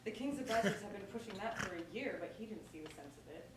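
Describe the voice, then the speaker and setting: A woman playing a brisk, bossy but affectionate servant wife in a staged reading of a verse comedy. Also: mid-range voice, lively intonation, lightly acted. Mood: earnest, loyal. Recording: very echoey, noisy room from far off.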